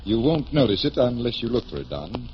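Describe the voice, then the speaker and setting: Muffled old-time radio, guy playing a genial magician sleuth, lively pitch, sure of himself. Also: a little fast, natural voice. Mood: patient explaining. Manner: crisp, assured explaining, showmanlike. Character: confident, genial stage magician detective. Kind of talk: 1940s radio acting. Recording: muffled, noisy vintage radio recording.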